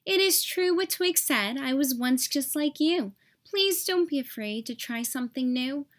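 Woman voicing a kind, high-pitched paintbrush. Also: lively intonation, unhurried. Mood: comforting. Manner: reassuring, earnest. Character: kind, understanding male paintbrush offering comfort.

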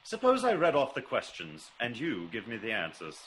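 Classic radio detective: probing, theatrical, commanding, animated delivery, slight noise on an old recording. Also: male voice, medium pitch, moderate pace, poor audio. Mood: inquisitive. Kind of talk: classic radio drama acting.

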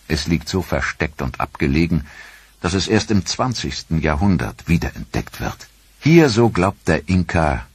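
A man narrating a history documentary in a measured, solemn, scripted style. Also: very deep voice, slightly rough, dry recording.

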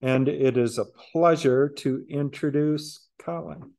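Slow, measured church chairman. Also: a little high, darkish tone. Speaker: church chairperson introducing the speaker. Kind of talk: read introduction. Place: church hall, indoors. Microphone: lectern mic.

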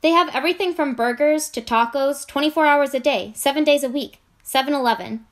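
High-pitched woman reading commercial voice-over. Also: fairly quick. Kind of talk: scripted advertising read.

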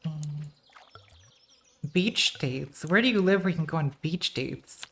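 A woman in her thirties with a very soft, quiet voice, chatting casually to her live-stream chat while playing a game, self-deprecating and laughing often. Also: sits low, expressive, a little slow. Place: home streaming room, indoors.

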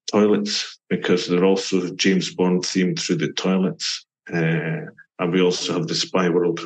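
A middle-aged man from Scotland with a Scottish accent, a guest on a travel podcast, calmly explaining visitor details and listing them one by one. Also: sits low, poor audio. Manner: explanatory, lists details steadily. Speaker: guest from a mountain attraction. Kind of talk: podcast interview.

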